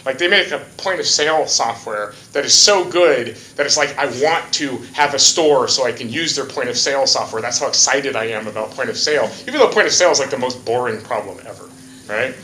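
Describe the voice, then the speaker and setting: A man's voice: medium pitch, lively intonation, brisk, pushed and bright, a little hiss or hum. A man from Hawaii giving a casual, joking conference talk, full of fillers like "uh" and "um". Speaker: conference speaker. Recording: echoey hall.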